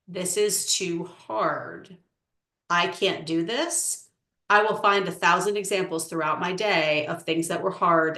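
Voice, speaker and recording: A woman coach answering interview questions in a measured, explanatory way with frequent "um"s. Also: on the low side, pushed and bright. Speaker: relationship coach. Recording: echoey room.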